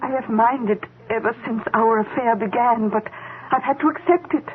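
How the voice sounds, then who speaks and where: A woman's voice: on the high side, moderate pace, natural voice. An actress playing a scheming mistress who informs on her lover, in a 1970s radio drama, speaking urgently. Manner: urgent, controlling, pressing. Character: scheming younger woman, a scientist's mistress and informer. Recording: narrow, phone-like old radio broadcast.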